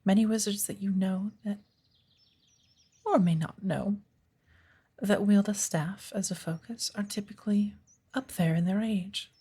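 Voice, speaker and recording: A woman playing a patient witch mentor in an ASMR roleplay, speaking softly, formally and with measured deliberation. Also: mid-range voice. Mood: patient, instructive. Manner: measured, deliberate, formal, often hushed and breathy. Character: patient witch mentor of the woods, gently teaching magic.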